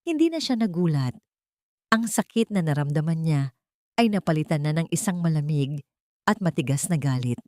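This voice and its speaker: A woman with a fairly low voice reads a scripted audio drama, telling it in a steady, dramatic way. She is close on the mic and the sound is dry, with no room sound. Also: no room sound. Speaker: narrator.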